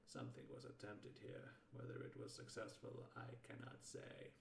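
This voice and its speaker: Hissing, breathy alien creature. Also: male voice, medium pitch, level tone, normal speed. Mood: grim, halting. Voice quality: hissing, breathy whispered rasp. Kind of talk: character voice for a science fiction story reading. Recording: roomy echo with background noise.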